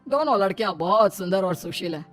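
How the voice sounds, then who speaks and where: A woman's voice: mid-range voice, expressive, fast, close to an everyday voice. A genial elder brother-in-law , acted by a woman, teasing and persuasive in an assured way. It is a cartoon storybook telling with music behind the voice.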